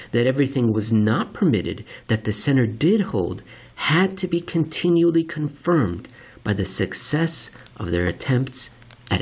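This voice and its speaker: A man narrating a written essay aloud in a deliberate, theatrical way with pointed emphasis. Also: fairly low pitch, husky. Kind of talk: scripted narration, dramatic essay reading.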